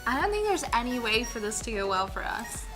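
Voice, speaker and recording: A woman chatting casually as a co-player, reacting to a game in short, drawn-out remarks. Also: a little high, big pitch swings, a bit bright, faint music behind, rough recording. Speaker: let's play co-player.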